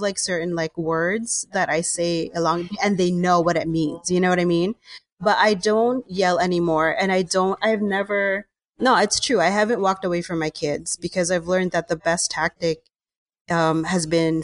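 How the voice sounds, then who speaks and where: A woman with a loud, projecting voice, chatting casually and telling stories in an animated way as a podcast regular. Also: fairly low pitch, no room sound. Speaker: regular podcast speaker and mother. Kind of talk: casual chat.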